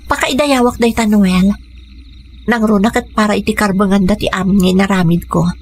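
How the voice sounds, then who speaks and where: A woman's voice: mid-range voice, fairly even intonation, fairly quick, lightly acted. An actress playing a devoted young activist woman in love, speaking sentimentally with an Ilocano accent in a radio drama. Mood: sincere. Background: music under the dialogue.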